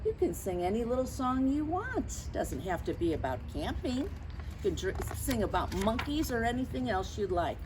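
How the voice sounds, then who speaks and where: A woman reading a picture book aloud to young children, chatting to them directly with questions and gentle reminders in a teacherly way. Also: fairly high pitch, big pitch swings, normal speed. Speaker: story time reader for children. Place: outdoors near lawns being mowed. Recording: outdoor noise, mower and birds.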